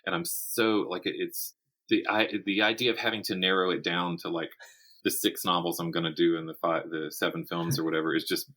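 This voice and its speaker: An American man in his fifties answering interview questions conversationally, thinking aloud in a candid, animated way. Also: deep voice, fairly quick. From South Carolina, United States. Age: 50s. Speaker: interview guest, horror writer and teacher.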